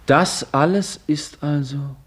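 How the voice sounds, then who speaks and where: A man's voice: a little high, takes it slowly, breathy edge. A young actor playing an impatient young man pressing with questions, in a 1950s radio drama. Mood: eager insistent. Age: young adult. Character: eager impatient young man seeking a miracle.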